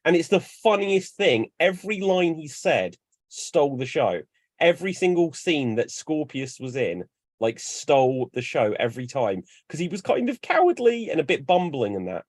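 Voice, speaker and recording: A young man chatting on a panel, telling lively stories and acting out bits as he goes. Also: on the high side, normal speed, no echo. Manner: animated storyteller, acts out bits. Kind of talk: casual chat.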